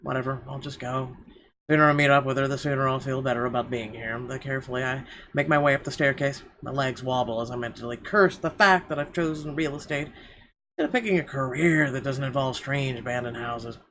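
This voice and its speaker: Male let's player, medium pitch, dramatized first-person visual novel reading with muttered asides, noticeably noisy recording. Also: fairly even intonation, brisk, a bit bright. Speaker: video game let's player. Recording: clearly noisy background.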